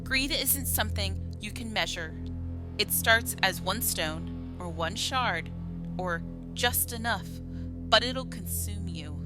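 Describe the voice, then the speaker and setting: A woman's voice: medium pitch, natural voice. A woman playing a shaken young survivor calling a radio horror show, telling her story in a grave, dramatic, confessional way. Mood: grave, regretful. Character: haunted, shaken young female survivor recounting a nightmare. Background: music.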